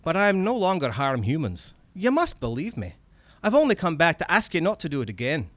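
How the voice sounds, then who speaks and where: A man's voice: a little high, huge pitch swings, brisk, a bit bright, no room sound, pitched above the performer's own voice. A man voicing a sarcastic, grumpy but polite little old vampire man with a Scottish accent in a story reading. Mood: sarcastic, scolding. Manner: scolding, wheedling, colloquial.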